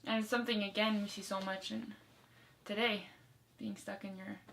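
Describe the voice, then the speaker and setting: A woman's voice: mid-range voice, level tone, moderate pace, creaky, a bit bright. A woman chatting casually in a discussion, agreeing and adding short points of her own. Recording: distant mic with faint ambient noise.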